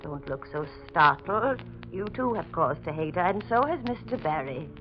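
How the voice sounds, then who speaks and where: An actress playing a bitter, spiteful middle-aged housekeeper, sinister and insinuating, in a 1940s radio mystery. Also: female voice, lowish voice, big pitch swings, normal speed, soft background music. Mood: bitter, gloating. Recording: dull old radio recording.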